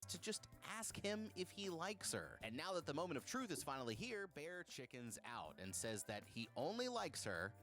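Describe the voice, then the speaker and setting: A man's voice: a little high, big pitch swings, normal speed, few pauses, fairly bright tone. A man reading a scripted, casual movie recap as a video narrator, in a slangy, jokey storytelling manner. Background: music.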